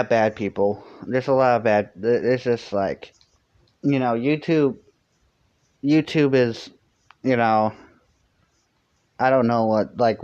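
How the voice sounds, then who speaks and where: A young man with a somewhat high voice chats on a live stream. He rambles and restarts his sentences constantly, filling every gap with "like" and "you know". The audio sounds a little dull and lo-fi. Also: a little mellow, smooth. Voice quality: fairly high-pitched for a man. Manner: rambling, many fillers and restarts. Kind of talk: casual live-stream chat.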